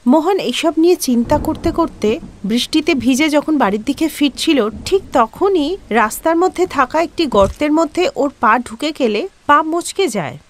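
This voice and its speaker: A woman's voice: sits high, animated, moderate pace, slight background noise. A woman giving scripted storytelling narration as the narrator of a tale.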